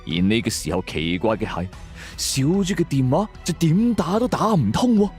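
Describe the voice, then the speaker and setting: A man's voice: a little high, sing-song, moderate pace, darkish tone. A man with a Cantonese accent narrating a suspense story in the first person, in a dramatic scripted style. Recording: music bed under the voice.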